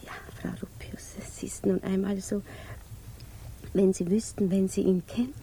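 An actress playing a naive, lovestruck and anxious woman in a 1950s German radio drama, confiding hesitantly and often slipping into breathy whispers. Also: mid-range voice, steady tone, normal speed, mellow, natural voice. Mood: shyly elated.

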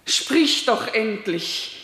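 A woman's voice: a little high, lively intonation, very slow, fairly bright tone, exaggerated voice. A middle-aged woman playing an anxious, pious mother in a radio play, pleading and reproachful. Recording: reverberant studio hall.

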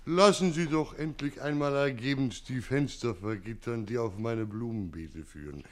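An actor playing a servile, fussy gardener in a comic radio drama, grumbling in obsequious, pompous phrases. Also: male voice, medium pitch, normal speed, close to an everyday voice. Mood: aggrieved. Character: fussy, aggrieved servile gardener, reportedly tipsy.

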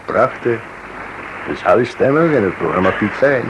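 An actor playing a genial, self-assured amateur detective in a radio play, breezy and conversational. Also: male voice, lowish voice, sing-song, normal speed. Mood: amused. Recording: dull, noisy old radio recording.

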